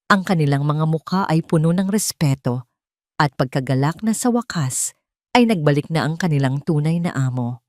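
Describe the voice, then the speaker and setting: A woman with a Filipino accent narrating a dramatic scripted story in the first person, solemn and deliberate. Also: low voice, a little mellow, dry recording, studio quality.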